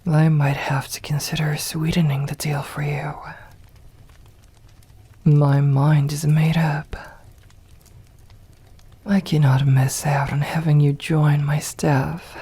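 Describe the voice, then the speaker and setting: Vampire mistress played by a woman for ASMR roleplay: very breathy and whispery, unhurried, refined, seductive and possessive. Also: low voice, level tone, dark tone, exaggerated voice. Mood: intrigued, possessive. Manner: slow, formal, coaxing endearments.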